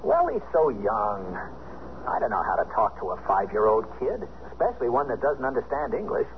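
An actor playing an exasperated, insistent husband with a sarcastic edge, in 1970s radio drama style. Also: male voice, mid-range voice, huge pitch swings, fairly quick. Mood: uneasy. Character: exasperated husband pressing wife to share duty. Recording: muffled, noisy old radio broadcast recording.

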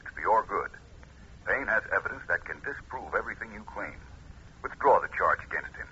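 An actor playing a suave, self-assured American private detective with urbane, assertive delivery in a 1950s radio drama. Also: male voice, on the low side, animated, brisk, bright, forceful tone, husky, heavily acted. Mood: urgent, insistent. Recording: muffled old radio, faint noise.

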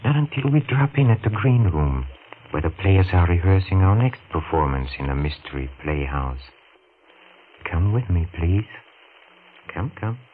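A man hosting a 1940s radio mystery show in a theatrical, coaxing, tongue-in-cheek and deliberate way. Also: deep voice, animated. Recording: scratchy, narrow-band 1940s radio recording.

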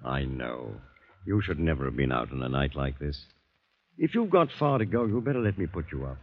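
A man's voice: a bass voice, big pitch swings, normal speed, full character voice. A man with a British accent playing a solicitous, probing English doctor and veteran in a genteel, coaxing way, in 1940s radio drama style. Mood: sympathetic. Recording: dull old radio drama recording.

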